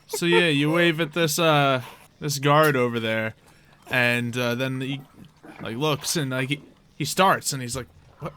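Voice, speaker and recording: A man narrating a tabletop game as game master in a casual, halting, conversational way. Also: mid-range voice, bright, forceful tone, a little hiss or hum.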